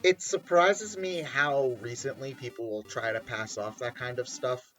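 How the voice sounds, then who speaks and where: Bright-voiced man bantering over games. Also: medium pitch, a little slow, rough recording. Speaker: commentator on a game playthrough. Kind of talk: casual group banter commentary.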